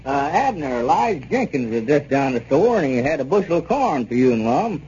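An actor playing a sensible, plainspoken small-town neighbor in a 1930s radio comedy. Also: male voice, a little high, very animated, moderate pace, dark tone. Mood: neighborly. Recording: noisy, dull 1930s radio recording.

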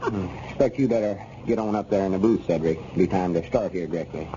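A man's voice: sits low, fast talker, mellow, natural voice. An actor playing a kindly older country man running a theater box office, with a rural Southern drawl and hesitant, homespun talk in a 1930s radio comedy serial. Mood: matter-of-fact. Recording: dull, noisy 1930s radio recording.

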